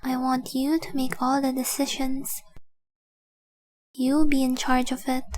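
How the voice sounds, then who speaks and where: A woman voicing a tender, possessive young dragon girl in love, speaking softly and breathily in an intimate roleplay audio drama. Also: high-pitched. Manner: soft, breathy, lingering, adoring delivery.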